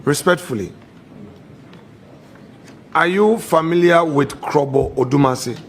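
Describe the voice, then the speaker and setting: A man with a slightly raised voice questions a nominee at a formal hearing. He presses the same point calmly and insistently, and a faint hiss sits under the archive clip. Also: a little high, slow pace. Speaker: committee member questioning a nominee.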